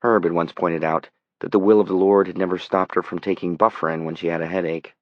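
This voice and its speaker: A deep-voiced man narrates dramatic fiction in audiobook style. The recording is very dry and slightly muffled. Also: steady tone, quick pace, no room sound.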